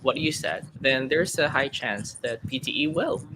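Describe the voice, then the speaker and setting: A man with a slightly high voice answers viewers' questions in a live online session. He explains patiently and chattily, filling his pauses with "uh" and "you know". A steady hiss runs under the stream. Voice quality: fairly high-pitched for a man. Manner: explanatory, friendly, thinks aloud with many fillers. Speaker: PTE speaking coach. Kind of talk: live webinar Q&A.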